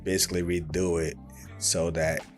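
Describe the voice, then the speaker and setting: A young man hosting a solo podcast, talking in a soft, calm voice that can't project, rambling thoughtfully with many fillers and restarts. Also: low voice, normal speed, soft background music. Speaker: podcast host. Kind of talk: reflective solo podcast monologue.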